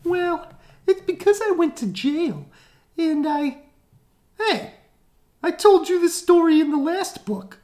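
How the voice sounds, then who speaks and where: A reader putting on a very high, whiny voice for a sulky, self-pitying villain in a comic book. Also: lively intonation, slow, pauses often, a little mellow, heavily acted.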